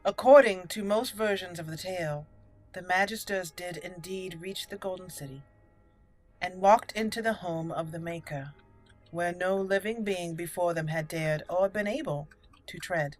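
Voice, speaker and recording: A woman hosting a roleplay game playthrough, speaking in a deliberate storyteller manner. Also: lowish voice, a little mellow, low-quality recording. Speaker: gaming channel host. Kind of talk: roleplay game commentary and dramatic lore reading. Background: faint game music.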